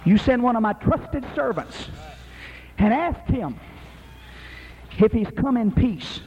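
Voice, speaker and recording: A man preaching a sermon in an emphatic, rhythmic style that rises to shouted peaks. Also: very high-pitched, animated, takes it slowly, dull audio.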